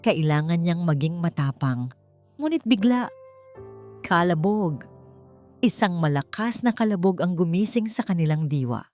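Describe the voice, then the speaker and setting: A woman narrating a dramatic story in an expressive, suspenseful storytelling manner. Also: lowish voice, measured pace, pauses often, faint music behind.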